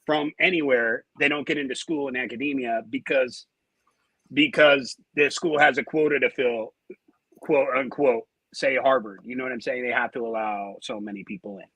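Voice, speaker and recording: An American man rambles casually as a panelist in a folksy way. His voice is forceful and raspy, at an ordinary pitch, with a rough edge to it. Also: steady tone, moderate pace, no room sound, low-quality recording. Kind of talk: casual chat.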